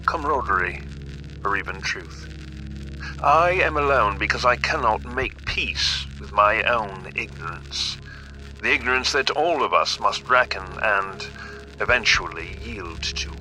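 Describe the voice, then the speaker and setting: An actor playing an obsessive, brooding eccentric billionaire, delivering a grave, literary monologue in an audio drama. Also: male voice, on the low side, pushed and bright, heavily acted, rough recording.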